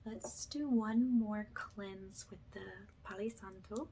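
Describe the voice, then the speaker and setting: A woman with a soft, breathy voice that often drops to a whisper, gently leading a soothing spiritual ASMR session in a reassuring way. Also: medium pitch, takes it slowly, slight background noise. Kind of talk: ASMR, soothing guided energy cleanse.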